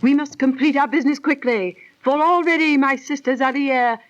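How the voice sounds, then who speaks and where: A woman's voice: high-pitched, normal speed, lightly acted. An actress playing a jealous, scheming young noblewoman of ancient Babylon, in imperious, theatrical, archaic 1930s radio style. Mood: urgent, commanding. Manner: imperious, formal, theatrical diction. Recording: dull old 1930s radio recording.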